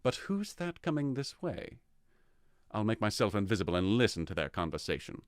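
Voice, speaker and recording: A man's voice: fairly low pitch, sing-song, normal speed, clear, resonant tone, no room sound, studio quality. A man playing a scheming, commanding fairy king in an audio drama, speaking in a grand, dramatic manner. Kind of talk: theatrical audio drama acting.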